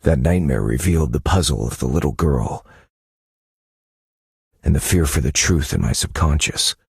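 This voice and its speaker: An actor playing a brooding, analytical detective-novelist in a game, with a gravelly, husky voice, musing to himself in a measured, contemplative way. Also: male voice, rumbling low, expressive, no room sound, studio quality, exaggerated voice. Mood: grave, reflective. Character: brooding, analytical male detective-novelist unraveling dark mysteries. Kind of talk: scripted game character monologue.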